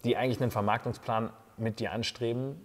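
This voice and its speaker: A man's voice: mid-range voice, fairly even intonation, fast, long reverb tail. A man in his thirties hosting an interview, affirming and enthusiastic with casual slang, seated on a sofa in an indoor lounge set. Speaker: host.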